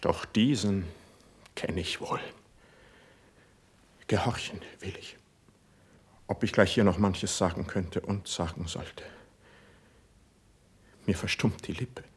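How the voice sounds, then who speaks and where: A proud, wounded poet declaims solemn verse in a classical radio play. The voice is husky and fairly low, very slow and halting, weighted with feeling. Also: male voice, heavily acted. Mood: bitter resignation. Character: proud, wounded poet submitting bitterly to his prince.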